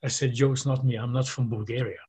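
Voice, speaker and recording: Older romanian-accented guy rambling thru a story, kinda husky voice. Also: mid-range voice, steady tone, fast, a little mellow. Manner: rambling, many fillers and restarts, quotes others in raised voice. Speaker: interview guest. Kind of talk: casual storytelling.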